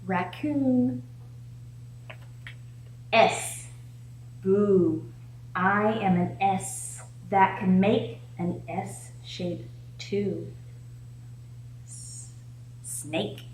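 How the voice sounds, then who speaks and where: A woman's voice: medium pitch, very slow, pauses often, darkish tone. A woman reading a picture book aloud to young children as a storytime host, with an encouraging, sing-song way of prompting her listeners. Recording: echoey room with faint background noise.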